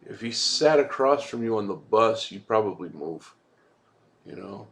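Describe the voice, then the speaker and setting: A man's voice: lowish voice, lively intonation, measured pace, fairly bright tone. Casual man rambling through movie talk. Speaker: host of a movie review vlog.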